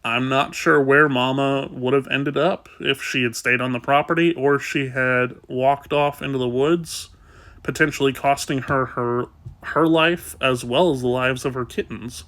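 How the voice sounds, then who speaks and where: A man's voice: mid-range voice, fairly even intonation, a little slow. Stuffed-up man chatting casually. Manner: soft, rambling, with fillers. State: congested, sniffling. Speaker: kitten rescuer. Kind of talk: casual vlog talk.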